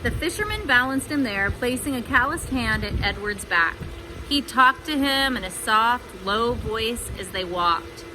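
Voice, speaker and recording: Female storybook reader, fairly high voice, chatty asides, clearly noisy recording. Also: lively intonation, a little slow. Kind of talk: children's read-aloud with chatty asides.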